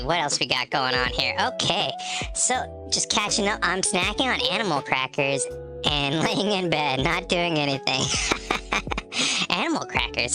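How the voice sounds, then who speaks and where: A woman with a notably low voice, nasal and stuffed up from allergies, chatting loosely with her live-stream viewers. She laughs easily and greets people by name between bits of rambling talk. Clear music plays underneath her. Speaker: game streamer. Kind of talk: casual live-stream chat.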